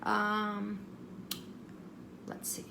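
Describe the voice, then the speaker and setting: Woman w/ creaky voice doing casual vlog advice, rambles n repeats herself, noisy background. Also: medium pitch, completely flat delivery, extremely slow. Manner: self-interrupting, repeats phrases for emphasis. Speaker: vlogger giving audition advice.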